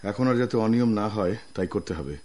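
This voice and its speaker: A man with a Bangladeshi accent playing a courteous, firm new office manager in a radio drama, with a husky voice. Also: on the low side, expressive, quick pace, darkish tone, close to an everyday voice. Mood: firm, businesslike. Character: newly appointed office manager and writer, courteous, firm, devoted son. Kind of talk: Bengali radio drama acting.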